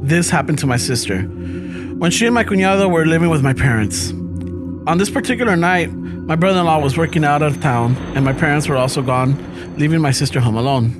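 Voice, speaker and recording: A man with a Chicano English accent, casually reading a listener's story aloud on a podcast, relaxed and profane, mixing in Spanish phrases. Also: on the high side, a little fast. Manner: laid-back, swears often, laughs through lines. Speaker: podcast host. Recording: music playing underneath.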